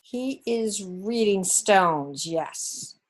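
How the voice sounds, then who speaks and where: A woman's voice: mid-range voice, lively intonation. A woman teacher with a New Zealand accent, working through the scoring of a test step by step in an online class. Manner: slow, methodical, talks through scoring step by step.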